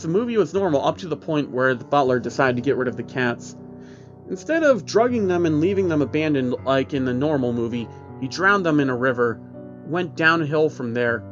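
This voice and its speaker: Casting: male narrator for first-person horror fiction, mid-range pitch, music bed, slightly dull recording. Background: background music.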